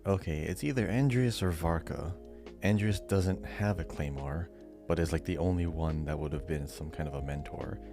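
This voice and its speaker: A man's voice: low-pitched, moderate pace, over music. A man co-hosting a podcast as a quiz contestant, chatting casually and hesitantly reasoning his way to answers. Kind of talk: casual chat, thinking aloud.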